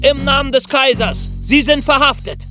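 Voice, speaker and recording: A man's voice: very high voice, normal speed, bright, forceful tone, faint music behind, voice pushed up. A man voicing a curt, commanding army captain in a narrated true crime story. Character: brisk commanding army captain making an arrest, stern.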